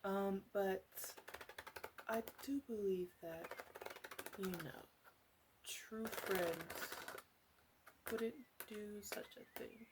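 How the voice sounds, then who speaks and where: A woman acts out a teasing witch, a few hundred years old yet youthful, in an ASMR roleplay. She speaks slowly at a medium pitch, halting and coy, often slipping into a whisper. A faint noise sits behind her voice. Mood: coy, fussing.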